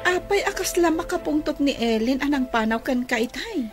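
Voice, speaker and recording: A woman's voice: sits high, lively intonation, moderate pace, music in the background. A woman playing a caring, dutiful young daughter in a radio drama, with a Filipino Ilocano accent. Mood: questioning.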